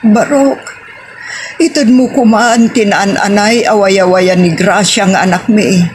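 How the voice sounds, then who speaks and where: A woman's voice: mid-range voice, steady tone, measured pace, lightly acted. A woman acting an earnest mature mother in an audio drama, with a Filipino Ilocano accent. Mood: pleading. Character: earnest middle-aged or older mother, pleading. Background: prominent music.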